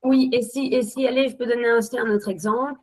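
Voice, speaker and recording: A woman's voice: a little high, steady tone, quick pace. A woman in her thirties, a webinar guest telling her own work experiences, talking hesitantly with many restarts and tangents. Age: 30s. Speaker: webinar guest, account manager. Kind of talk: spontaneous testimony.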